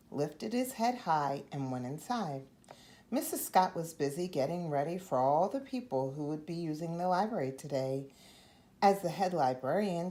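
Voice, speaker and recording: Very animated woman reading a storybook aloud. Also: lowish voice. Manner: animated, acts out the dialogue. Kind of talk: expressive read-aloud narration.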